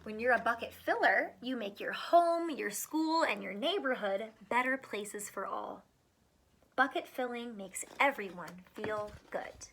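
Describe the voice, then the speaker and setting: A woman's voice: on the high side, a little slow, a bit bright, low-quality recording. A woman teacher reading a picture book aloud to children, adding encouraging asides and questions.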